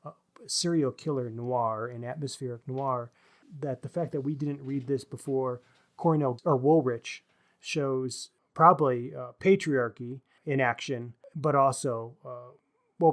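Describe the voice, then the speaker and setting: A man's voice: mid-range voice, fairly bright tone. Man co-hosting a reflective book podcast. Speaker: podcast co-host discussing books. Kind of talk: casual literary discussion.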